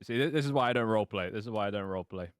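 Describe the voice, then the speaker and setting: A man's voice: lowish voice, racing, dry recording. A man casually running a tabletop game as game master, hesitant, self-deprecating and prone to swearing.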